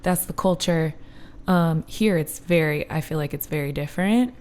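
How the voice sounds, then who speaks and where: A woman's voice: sits low, moderate pace, darkish tone, clean tone. A thirty-year-old woman, a podcast guest, chatting casually and animatedly, acting out what people say and laughing often. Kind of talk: casual chat.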